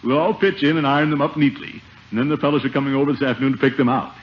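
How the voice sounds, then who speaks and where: An actor playing a pompous, blustering middle-aged uncle in a 1950s radio comedy, jovial and chuckling. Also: male voice, medium pitch, steady tone, rapid-fire, close to an everyday voice. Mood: smug, jovial. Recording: muffled, noisy 1950s radio broadcast.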